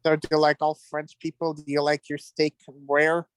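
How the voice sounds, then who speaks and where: A man's voice: fairly high pitch, completely flat delivery, normal speed, clear, resonant tone, dry recording. A man from the telling personal stories casually and openly as an interview guest. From Middle East (Iraq).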